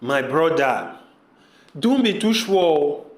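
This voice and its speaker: A man's voice: a little high, very animated, slow. A man with a Nigerian accent playing an earnest village man pleading with his brother in an amateur audio drama, asking repeated questions. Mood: cautioning. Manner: pleading, repetitive rhetorical questions. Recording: echoey room.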